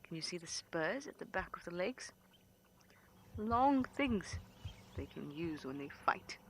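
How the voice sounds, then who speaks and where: A woman guiding a live game drive, talking quietly and playfully, often close to a whisper, outdoors in the bush. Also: lowish voice, sing-song, unhurried, a little hiss or hum. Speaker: safari guide.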